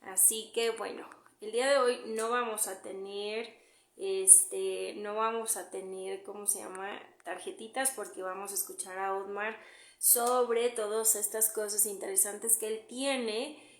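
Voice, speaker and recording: A woman's voice: mid-range voice, steady tone, measured pace. Mexican lady running a live, chatty, lots of "este" and restarts, medium voice. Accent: Mexican Spanish. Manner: chatty, many fillers, restarts while waiting on guest. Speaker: live stream host. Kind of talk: casual live stream chat.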